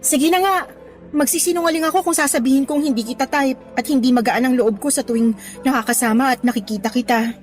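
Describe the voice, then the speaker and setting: A woman playing an earnest young female teacher in a radio romance drama, expressive and mixing Tagalog with English. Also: sits high, fast, soft background music, lightly acted. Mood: flustered, smitten.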